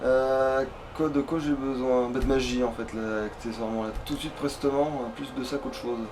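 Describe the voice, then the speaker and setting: A man plays a video game and comments as he goes in a casual, slangy way. He swears freely and talks as if to a friend beside him. The recording has clear background noise. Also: medium pitch, fairly even intonation, moderate pace. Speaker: let's player. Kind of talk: casual gameplay commentary, chatting with a partner. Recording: clearly noisy home recording.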